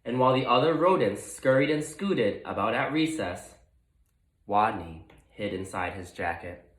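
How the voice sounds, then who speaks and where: Male teacher, storybook read-aloud: very animated pitch, expressive pauses, echoey room. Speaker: teacher reading aloud to students. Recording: roomy, echoey sound.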